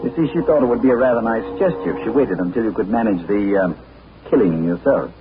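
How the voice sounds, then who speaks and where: Suave gentleman hero by an actor, lively delivery, tinny crackly old radio. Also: on the low side, fast, lightly acted. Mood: wry. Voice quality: suave, dry understatement. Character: suave male gentleman adventurer, cool and dry-witted. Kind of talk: 1940s radio acting. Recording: tinny, noisy 1940s radio broadcast.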